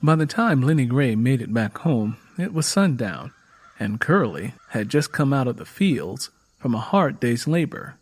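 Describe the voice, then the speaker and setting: A man's voice: medium pitch, big pitch swings, a little slow, slightly rough. A man reading scripted story narration as the narrator of an audiobook.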